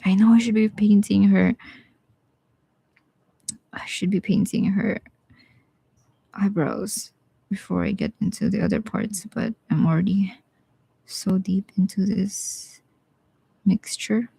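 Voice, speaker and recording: Gravelly-voiced Bisaya woman teaching painting. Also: mid-range voice, animated, slow pace, long pauses, dry recording, low-quality recording. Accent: Bisaya Filipino. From Philippines. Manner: casual, thinks aloud while painting, drops into quiet asides. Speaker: watercolor artist streaming live. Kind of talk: live painting tutorial with chat replies.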